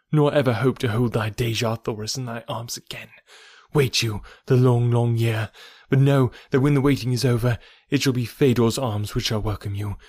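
A man's voice: mid-range voice, a little mellow, slightly rough, no echo, close to an everyday voice. A man reading aloud voices a scorned, vengeful young woman who speaks in a haughty, archaic manner. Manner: haughty, archaic stately speech.

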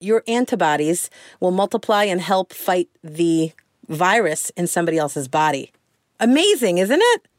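A woman reporter telling a story in a conversational, animated way. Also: on the low side, moderate pace, no room sound, clean studio sound. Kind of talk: news feature narration.

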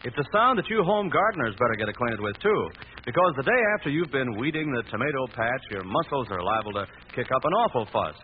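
A man's voice: medium pitch, big pitch swings, quick pace, hardly any pauses. An American man announcing a scripted 1940s radio commercial. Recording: tinny, hissy old radio broadcast.

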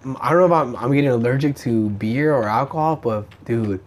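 A man speaks in an easy, conversational style at a middling pitch. A slight lisp colors his words. His talk is loose and slangy, like a comedian chatting with a buddy. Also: fairly quick. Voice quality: slight lisp from a bitten tongue. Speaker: stand-up comedian. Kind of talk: casual chat.

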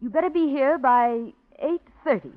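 An actress playing a calculating young wife in a 1940s radio drama, smooth and persuasive. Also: female voice, sits high, lively intonation, normal speed. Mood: urgent. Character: scheming young wife plotting murder. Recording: tinny, dry old radio recording.

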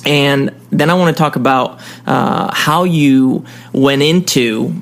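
Male interview host, middle pitch, gravelly, curious and rephrasing. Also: takes it slowly. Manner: curious, rephrasing questions with fillers. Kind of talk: conversational interview.

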